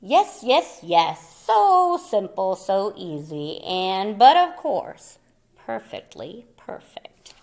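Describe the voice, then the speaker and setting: A woman reading a children's picture book aloud in a theatrical, playful way, with dramatic pauses and little asides for young listeners. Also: mid-range voice, big pitch swings, vocal fry, a little mellow. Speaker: storyteller.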